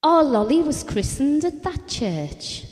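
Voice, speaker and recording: A woman's voice: high-pitched, sing-song, slow, a little mellow, big-room sound, exaggerated voice. An actress playing a candid, self-deprecating wife who confides wryly and conversationally about her marriage in an intimate audio drama. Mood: candid, fond.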